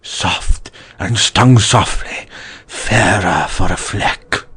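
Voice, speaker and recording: A man performs an ardent, pleading lover in a melodramatic verse drama. His voice is husky and mid-pitched, and he draws the words out very slowly. Faint music plays underneath. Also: fairly bright tone, heavily acted. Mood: rapturous. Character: lovesick, earnest, pleading male lover. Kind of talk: verse drama audiobook acting.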